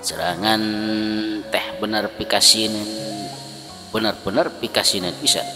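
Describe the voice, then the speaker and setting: A man's voice: on the low side, unhurried. A man with a Sundanese accent telling a folk tale dramatically, in a colloquial oral storytelling manner. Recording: long reverb, loud music behind. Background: music.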